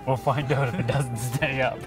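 A man's voice: mid-range voice, steady tone, moderate pace, over music. A man building a grill in a backyard, bantering playfully. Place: backyard outdoors.

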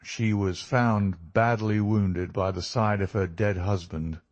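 A man voicing a composed, authoritative detective in a storybook reading, formal, measured and stern. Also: on the low side, steady tone, dry recording, lo-fi sound. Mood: grave.

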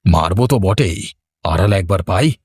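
A scheming village villain in a cartoon horror tale, acted by a man. The voice is on the low side and recorded dry. The delivery is theatrical and full of menace. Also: expressive, moderate pace, slightly rough, no room sound, exaggerated voice. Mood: vengeful. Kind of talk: Bengali horror cartoon dialogue.

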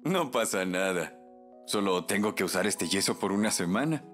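An actor playing a kindly, patient older grandfather in a children's cartoon, with a Latin American Spanish accent and gentle, expressive delivery. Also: lowish voice, normal speed, faint music behind. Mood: apologetic. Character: kindly grey-haired grandfather, gentle and encouraging. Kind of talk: children's animated dubbing.

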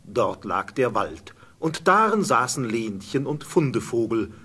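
A man narrates a fairy tale in a mid-pitched, husky voice. His intonation is animated as he tells the scripted story. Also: measured pace.

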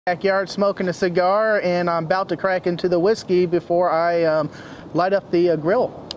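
Male radio hobbyist, 30s, high-pitched, casual on-air chat, outdoors with strong noise. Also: level tone, moderate pace. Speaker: amateur radio operator and vlogger. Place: outdoors in a backyard patio. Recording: very noisy outdoor camera sound.

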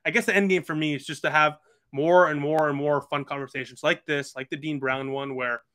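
A man's voice: a little high, fairly even intonation, brisk, fairly bright tone, smooth. A man of about twenty, chatting earnestly as a podcast guest over a video call with lots of fillers. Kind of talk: casual video call chat.

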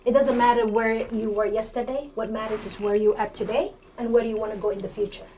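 A woman's voice: fairly high pitch, fairly even intonation, a little fast, dark tone. A woman in her forties with a Somali accent, answering interview questions conversationally as a political candidate. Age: 40s. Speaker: city council candidate, interview guest. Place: indoor TV studio at a desk. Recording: clear background noise.

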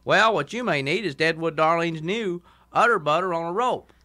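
A man voicing a folksy cowboy pitchman in a declamatory mock radio commercial. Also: moderate pace, pushed and bright. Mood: hearty sales pitch. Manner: declamatory, salesmanlike. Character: folksy old-time cowboy radio pitchman selling livestock ointment.

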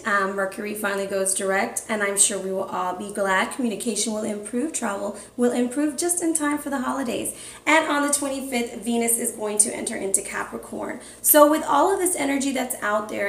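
A woman in her thirties, an upbeat tarot reader talking casually to her viewers in a small room. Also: on the high side. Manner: upbeat, chatty, calls viewers family and fam. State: hoarse, losing her voice. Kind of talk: casual monthly tarot reading vlog. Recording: echoey room.

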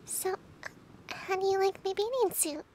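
A woman playing a bratty but affectionate, easily flustered young girlfriend in an intimate ASMR roleplay, pouty and teasing, often dropping to breathy murmurs. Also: very high-pitched, unhurried, a little mellow, slight background noise. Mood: teasing, shy.

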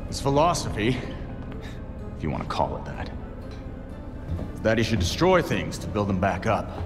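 An actor playing a gruff masked vigilante man, blunt and dryly sarcastic, in a game cutscene. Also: fairly low pitch, big pitch swings, moderate pace. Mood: grim. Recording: reverb with music score.

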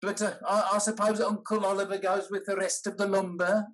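Man playing halting, courteous old moneylender. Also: sits high, level tone, fairly bright tone. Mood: eager, pleading. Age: older. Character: older gentleman posing as a polite, hesitant moneylender. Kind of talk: period comedy stage acting over video call. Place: dim room indoors, video call.

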